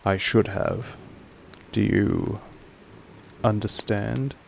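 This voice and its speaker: A man playing a possessive vampire master in an intimate audio roleplay, speaking formally in a measured, softly menacing way with a gravelly creak. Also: on the low side, steady tone, slight background noise, heavily acted. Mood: tender, proud. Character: possessive male vampire master, calm, commanding, quietly affectionate.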